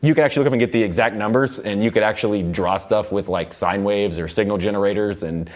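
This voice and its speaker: Low-voiced man giving a casual tutorial. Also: fast talker, a little mellow. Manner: informal, rambling explanations. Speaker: music production instructor. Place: indoor recording studio.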